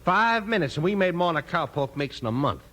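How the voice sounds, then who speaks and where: An actor playing a slick, bullying cowboy outlaw leader in 1950s radio western style, full of folksy slang. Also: male voice, on the high side, expressive, quick pace, fairly bright tone. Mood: smug, persuasive. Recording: noisy old 1950s radio recording.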